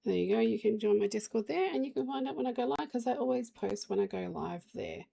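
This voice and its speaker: A woman streams games while she has the flu, and her voice comes out hoarse and heavily gravelly. Her tone is mellow and dark. She rambles in a casual way, talking straight to the viewers in her chat. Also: fairly high pitch, animated, quick pace. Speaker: game live streamer.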